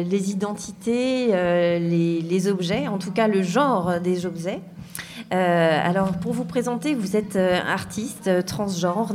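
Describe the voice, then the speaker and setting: A woman's voice: medium pitch, a little mellow. A woman hosting an interview, introducing her guest and asking questions in a hesitant way with many fillers. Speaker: interviewer, host. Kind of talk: radio interview.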